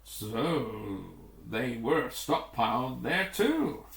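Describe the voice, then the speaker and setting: A man reading the part of a grim, authoritative rescue commander in a storybook, formal and deliberate. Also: medium pitch, big pitch swings, vocal fry, a bit bright, recorded from a distance, full character voice. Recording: roomy, echoing room.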